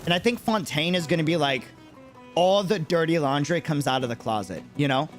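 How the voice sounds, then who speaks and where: Male streamer, fairly high voice with lively swings, chatting casually and doubling up phrases, music underneath. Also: a little fast. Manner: animated, repeats phrases for emphasis. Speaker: streamer and content creator. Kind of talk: casual livestream chat answering viewers.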